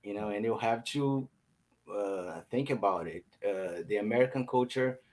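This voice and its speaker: A man from Brazil, a native Portuguese speaker, practicing English with a tutor over a video call, pausing often to find his words. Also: fairly low pitch, a little mellow. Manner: hesitant, searching for words, many "um"s. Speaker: English student. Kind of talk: casual conversation lesson.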